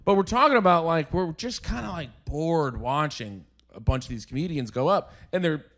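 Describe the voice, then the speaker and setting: A man co-hosting a comedy podcast, chatting casually and telling animated stories with put-on voices. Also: fairly high pitch, normal speed. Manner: animated storyteller who does voices of people he met. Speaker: podcast co-host. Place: podcast studio at home.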